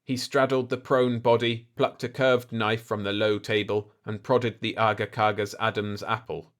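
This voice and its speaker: A man's voice: lowish voice, level tone, moderate pace, fairly bright tone. A man reading a scripted fiction story aloud as an audiobook narrator.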